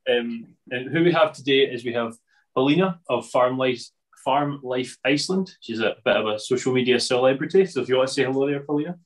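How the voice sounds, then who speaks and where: A man's voice: medium pitch, fairly quick, low-quality recording. A man hosting a podcast who chats casually with his guest in an easygoing, self-deprecating way. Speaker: podcast host.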